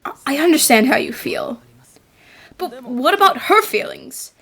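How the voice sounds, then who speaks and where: A woman voicing an earnest, polite young boy, an inquisitive apprentice, in an animated fan dub. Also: high voice, a little slow, full character voice. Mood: concerned.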